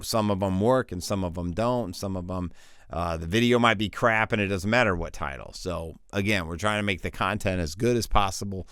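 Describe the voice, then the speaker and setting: A man talks viewers through his freelance voiceover setup in a loose, rambling way, with frequent restarts and fillers. His voice sits a bit low, and the close, dry mic leaves almost no room sound. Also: a bit bright, clear, resonant tone, no echo. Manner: rambling, informal, self-interrupting with fillers. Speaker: voiceover artist giving a tutorial. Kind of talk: casual screen-share tutorial. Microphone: studio voiceover mic.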